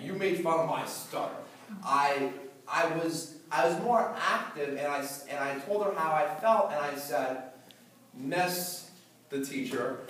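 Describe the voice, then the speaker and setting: A man's voice: on the high side, fairly bright tone, far from the mic. Teenage boy who stutters, echoey hall. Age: teens. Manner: stutters, with repeated words and blocks. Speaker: student speaker. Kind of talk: prepared talk to an audience.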